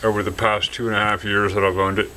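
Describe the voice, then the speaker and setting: A man giving a casual, halting product demonstration from behind a hand-held camera indoors, as if selling something. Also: lowish voice, level tone, pushed and bright, a little hiss or hum. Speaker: seller demonstrating a restored vintage radio signal tracer. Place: dim indoor room, speaking from behind the camera.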